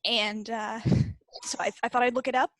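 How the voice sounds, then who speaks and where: A woman's voice: a little high, flat delivery, moderate pace, a bit bright, no room sound, poor audio. A woman casually telling a personal story as a guest on a group call. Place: indoors, on a group video call.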